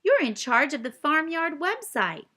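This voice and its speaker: An eager young storybook duck as a woman reads him, raised in pitch and full of surprise. He exclaims readily and is easily confused. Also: very animated, a little slow, bright, forceful tone, heavily acted. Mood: excited. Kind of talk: children's storybook read-aloud.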